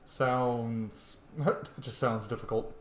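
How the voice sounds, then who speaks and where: A man with an average-pitched voice comments casually on a game he is playing. His offhand remarks come through a roomy, echoing microphone with faint music underneath. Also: fairly even intonation, slow pace. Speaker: video game streamer.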